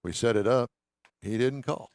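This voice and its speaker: A man's voice: mid-range voice, normal speed, darkish tone, dry recording, studio quality. A man hosting an automotive radio show, reading items and bantering in a wry, emphatic way.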